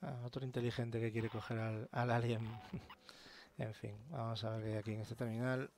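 A man giving excited, slangy commentary while playing a game. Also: mid-range voice, moderate pace, slight background noise. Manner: excited, swears casually. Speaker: let's play gamer. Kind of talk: live gameplay commentary.

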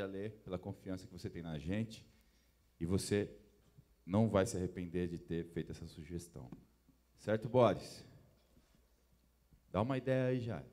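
A man addressing the audience from a concert stage on a handheld mic, earnest and thankful. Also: fairly low pitch. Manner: earnest, thankful, slowing down at times. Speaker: band member speaking from stage. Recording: live concert sound with loud music. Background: live band playing.

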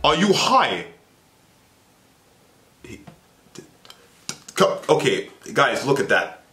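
A young man reacting to a video from his small bedroom, excitable and playful, with teasing asides into the webcam. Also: medium pitch, extremely slow, soft background music. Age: young adult. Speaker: reaction vlogger. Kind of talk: casual reaction commentary. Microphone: webcam at desk, earphones in. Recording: echoey room.

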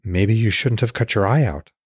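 A man's voice: fairly low pitch, normal speed, darkish tone, husky, no room sound, clean studio sound. A man voicing a concerned, frank goddess wife, questioning and pointed, in an audiobook.

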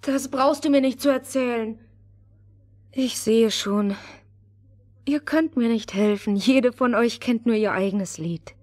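Earnest young girl, high pitch, measured pace, dry studio fairy-tale record. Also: darkish tone, no echo. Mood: disappointed, resolute.

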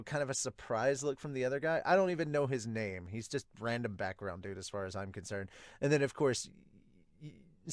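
A man in his thirties talks casually and with enthusiasm, like a host reacting to something as he reads. His pitch is mid-range and the sound is dry, as from a desk in a small home room. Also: no echo. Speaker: host of a manga review channel. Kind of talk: casual reaction commentary with read-aloud dialogue.

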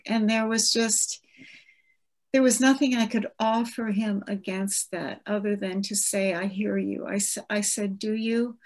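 Reflective woman in her seventies. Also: medium pitch. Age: 70s. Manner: reflective, gentle, with frequent restarts. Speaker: author. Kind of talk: virtual book event conversation. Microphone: video call.

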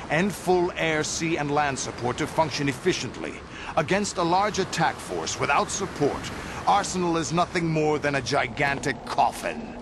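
A man's voice: mid-range voice, noisy background. An actor playing a commanding, vengeful older male game villain, theatrical and menacing in delivery. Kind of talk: video game cutscene acting.

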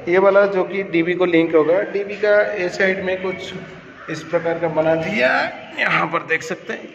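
A man's voice: high-pitched, fairly even intonation, normal speed, creaky, fairly bright tone, long reverb tail, highs cut off, slight background noise. A man giving a casual walkthrough of his cable tray work in a large indoor server room, explaining step by step while filming on a handheld phone. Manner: explanatory, pointing things out step by step. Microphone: handheld phone camera.

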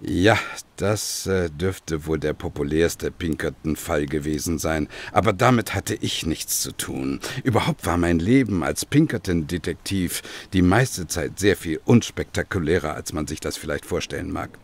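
An actor playing a grave former detective who recounts his past in a measured, dramatic way in a German radio play. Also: low voice. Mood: composed, recounting.

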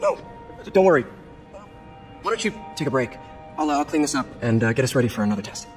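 An actor playing an earnest young American superhero scientist in a video game, casual and hesitant with awkward wisecracks. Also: male voice, medium pitch, very animated, quick pace, lightly acted. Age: young adult. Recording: music behind.

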